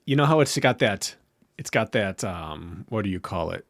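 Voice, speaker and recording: A man co-hosting a podcast in casual chat, relaxed and wry, sometimes searching for words. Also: sits low, normal speed, no echo, studio quality. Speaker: podcast co-host.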